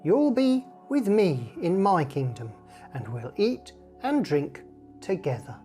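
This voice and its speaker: A woman reading a story aloud to children in an expressive storyteller's way, acting out the dialogue. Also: fairly low pitch, takes it slowly, faint music behind.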